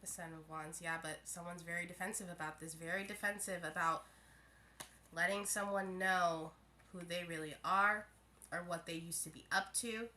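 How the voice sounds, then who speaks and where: A woman's voice: fairly low pitch, bright, forceful tone, slight background noise. A woman giving a casual tarot reading to her viewers, a little stuffy from a head cold, musing aloud and often restarting her phrases. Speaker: tarot reader.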